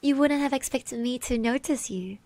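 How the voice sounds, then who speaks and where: A young woman voicing a shy, kind eighteen-year-old girl classmate in an ASMR roleplay, soft and gentle, hesitant and reassuring, close to the mic. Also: sits high, normal speed, dry recording. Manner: hesitant, bashful, stammering, reassuring. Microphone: close microphone.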